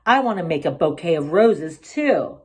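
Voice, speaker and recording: A woman's voice: fairly low pitch, sing-song, moderate pace, a little mellow, slightly rough. A woman voicing a curious, eager little granddaughter in a children's storybook, with a wide-eyed manner. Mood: delighted.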